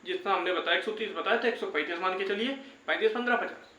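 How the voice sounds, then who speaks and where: A man's voice: high-pitched, fast, pushed and bright. A young man giving an informal, reassuring update to viewers, often repeating his phrases. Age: young adult. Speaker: YouTube exam-update presenter. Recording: echoey, roomy sound.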